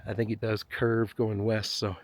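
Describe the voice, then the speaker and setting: A man's voice: on the low side, level tone, moderate pace, a little hiss or hum. A man vlogging as he hikes a desert trail, talking to himself in a chatty, joking way and sighing now and then from the climb. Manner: chatty, self-deprecating, talks to himself. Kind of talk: casual narration while hiking. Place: outdoors on a desert canyon trail.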